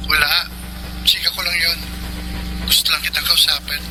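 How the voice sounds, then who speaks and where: Man acting as contrite young Filipino ex-sweetheart, slightly high voice, radio drama, humming noisy background. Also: little pitch movement, moderate pace, close to an everyday voice. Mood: teasing, casual. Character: apologetic young man, persistent former love interest. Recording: noisy line with engine-like hum.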